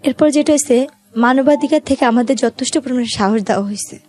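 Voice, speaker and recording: A woman's voice: high-pitched, fast, a little mellow, faint music behind. A woman with a Bangladeshi accent telling her own life story as an interview guest, in colloquial, conversational speech.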